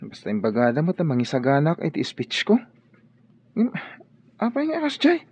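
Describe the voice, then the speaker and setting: Guy doing an earnest young student, slightly high husky voice, muffled. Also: huge pitch swings, normal speed, a little mellow, exaggerated voice.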